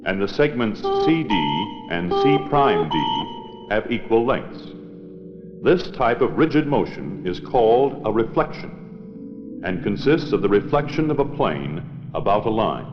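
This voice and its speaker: Slow, deep-voiced man narrating an old film. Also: very animated. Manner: measured, deliberate, precise. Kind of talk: scripted instructional narration. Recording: dull old 16mm film soundtrack, long reverb, loud music.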